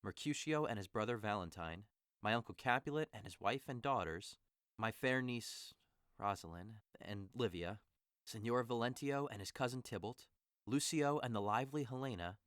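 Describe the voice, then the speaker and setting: A man's voice: mid-range voice, normal speed, fairly bright tone, clean tone, no room sound, studio quality, natural voice. A man playing a lovesick, melancholy young nobleman with dramatic, emotive delivery in an audio drama. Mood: polite, curious. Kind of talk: audio drama acting of modernized Shakespeare.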